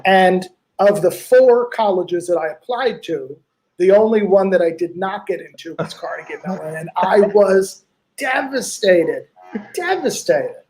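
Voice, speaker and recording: A man with a notably high speaking voice hosts the conversation, chatty and playful. He teases his guest and drifts into his own anecdotes between questions, keeping a casual, conversational tone. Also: expressive, a little slow, a little mellow. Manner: chatty, storytelling, teasing. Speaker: host. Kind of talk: casual interview chat.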